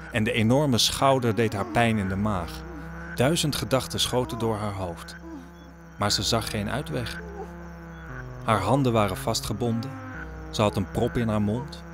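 Low-voiced male storyteller over music. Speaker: narrator. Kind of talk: scripted storytelling narration in an audio drama. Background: music under the voice.

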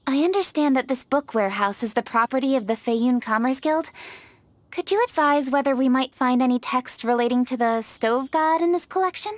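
A poised noble girl in a fantasy game, high voice, very proper n articulate. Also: expressive, moderate pace. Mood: composed, purposeful. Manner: formal, articulate, decisive. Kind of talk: video game acting.

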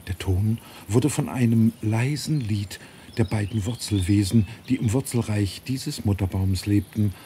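A man's voice: on the low side, warm tone, slight background noise. A middle-aged man reading a children's storybook as scripted audiobook narration. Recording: nature ambience and birdsong behind voice. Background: birds, forest ambience.

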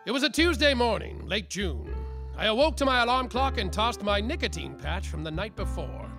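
An actor playing a semi-sober, world-weary, boastful private detective, narrating a comic noir radio play with theatrical flair. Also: male voice, sits high, sing-song, normal speed, over music. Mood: dramatic.

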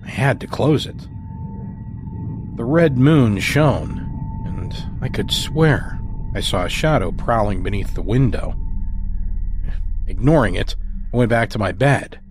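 A man hosting and narrating a scripted horror podcast in a dramatic, deliberate manner. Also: medium pitch, expressive. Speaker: host and story narrator. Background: music score.

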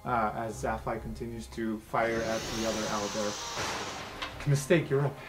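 A game master tells a fantasy tabletop story in a loose, chatty, informal style. The voice sits at a middling pitch, and music plays clearly behind it. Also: steady tone, measured pace. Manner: casual, informal, swearing freely. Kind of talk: improvised tabletop game narration and table talk. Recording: music under the talk.

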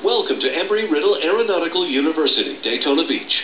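A man's voice: fairly high pitch, expressive, quick pace. Male news correspondent, middle-aged, American accent, reporting style, muffled echoey TV capture. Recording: dull roomy TV sound filmed off screen, noisy.

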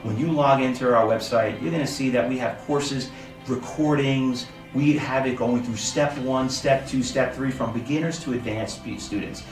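Earnest middle-voiced male presenter, persuasive pitch, roomy off-mic sound. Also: moderate pace, far from the mic, over music. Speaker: trading school founder. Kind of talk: promotional testimonial talk. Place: hotel conference room.

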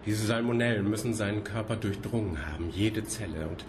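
An actor playing a courteous, evasive senior institute scientist in a radio drama, formal and hesitant, repeating himself. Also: male voice, on the low side, fairly quick, noisy background, lightly acted. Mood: grave, courteous. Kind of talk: naturalistic German radio play acting.

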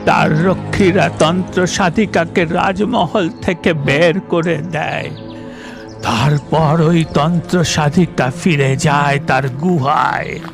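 A man's voice: on the high side, fairly even intonation, slow pace, gravelly, music in the background. A man voicing a storyteller character who recounts a tragic tale in a dramatic cartoon fairy tale. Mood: solemn.

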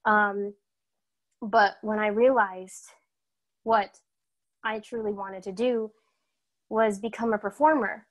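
A woman's voice: medium pitch, slow, long pauses. A young woman from Massachusetts, introducing herself in an upbeat, chatty way over a laptop video call. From Massachusetts, USA. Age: young adult. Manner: upbeat, energetic, chatty with fillers. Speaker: guest performer. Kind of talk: casual self-introduction.

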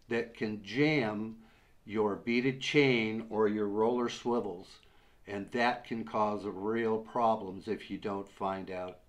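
An older man in his sixties, slowly and patiently explaining a fishing rig step by step, seated at a table in a small room. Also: lowish voice. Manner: slow, patient step-by-step explaining with many uhs. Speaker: fishing instructor. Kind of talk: how-to demonstration. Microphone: camera in front at desk distance.

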